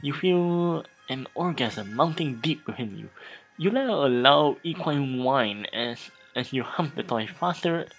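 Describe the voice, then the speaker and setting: Game host, dramatic reading, slow pace, halting and repetitive delivery, music behind. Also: medium pitch, expressive, low-quality recording. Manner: halting, stumbling over words and repeating phrases. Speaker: host of a gaming let's play.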